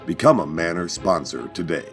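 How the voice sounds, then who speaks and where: Deep-voiced male announcer over music. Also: animated, normal speed. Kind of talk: scripted promotional announcement. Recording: music bed behind the voice. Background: clear music.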